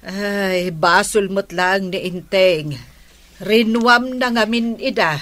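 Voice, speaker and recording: An actress with an Ilocano Filipino accent playing an indignant, gossiping neighbour who scolds and exclaims in a radio comedy drama. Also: female voice, medium pitch, slow pace, fairly bright tone.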